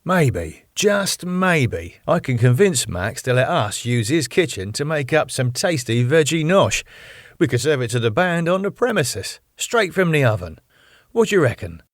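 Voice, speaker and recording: A man's voice: a little high, very animated, measured pace, no room sound, clean studio sound. A man with a British accent voicing an eager, persuasive young male band manager.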